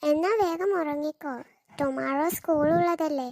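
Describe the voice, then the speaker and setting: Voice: high-pitched, fairly even intonation, measured pace, darkish tone. A performer voicing a bossy, proud cartoon mother in exaggerated scripted dialogue. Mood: firm, coaxing. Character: bossy, proud cartoon mother planning a showy birthday party.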